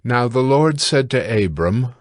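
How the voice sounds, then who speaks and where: A man narrating scripture from a script in a measured, formal reading manner. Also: medium pitch, expressive, dry recording, clean studio sound.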